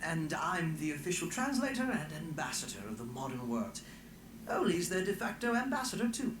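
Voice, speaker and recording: Upbeat young male translator for a fantasy game: higher-pitched, animated, roomy. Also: fairly quick, slight background noise. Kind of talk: fantasy video game dialogue.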